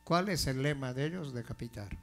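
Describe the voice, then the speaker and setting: A male pastor delivering a sermon in a slow, deliberate way, building to forceful emphasis. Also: mid-range voice, animated.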